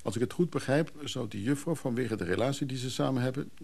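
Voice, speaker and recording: A man's voice: medium pitch, fast, creaky, darkish tone, husky. An actor playing a businesslike, discreet man in a naturalistic radio drama. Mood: probing. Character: businesslike man discreetly arranging a funeral and secrets.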